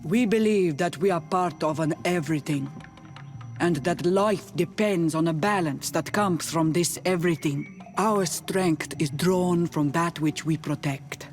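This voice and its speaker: A woman's voice: lowish voice, lightly acted. A stern female chief of a fantasy warrior clan , played by an actress. She speaks in solemn, guarded declarations full of pride. Faint music plays underneath. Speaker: game character actor. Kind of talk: scripted fantasy game dialogue.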